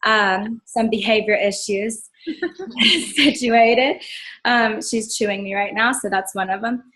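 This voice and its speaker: A hoarse young woman from North Carolina, a graduate student introducing herself to her class over a video call, chatty and laughing between points. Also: mid-range voice, fairly bright tone. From North Carolina, USA.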